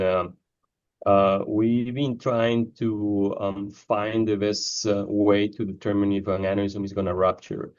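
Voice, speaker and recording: A man from Ecuador speaks in a low, flat voice, pausing often with ums and uhs as he explains his work. The sound is dull and lo-fi, like a video call. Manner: hesitant, many fillers. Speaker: neurosurgeon researcher. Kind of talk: interview answers.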